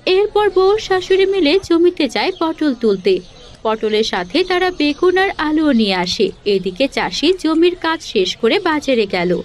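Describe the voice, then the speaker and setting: Very high-pitched woman narrating a story. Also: very animated, normal speed.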